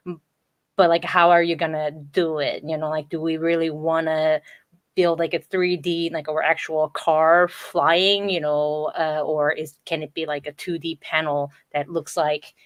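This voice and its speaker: Guest, woman, native Korean speaker; lower register, dry close sound, chatty and filler-heavy. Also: little pitch movement, measured pace, no room sound. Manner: chatty, lots of "like" fillers, laughs often. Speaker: guest, entertainment design coordinator. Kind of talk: casual interview chat.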